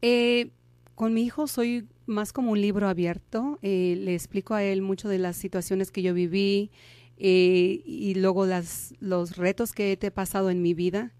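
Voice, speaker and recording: A woman in her thirties, born in Mexico, speaking as an interview guest and telling her story candidly with pauses and restarts. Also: mid-range voice, fairly even intonation, mellow, smooth, dry recording. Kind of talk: conversational interview.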